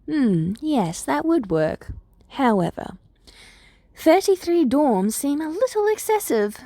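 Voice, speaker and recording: A woman's voice: high voice, sing-song, takes it slowly, warm tone, no room sound, voice pushed up, heavily acted. A woman voicing a cheerful, curious male animal school principal, genial and musing, in a story reading.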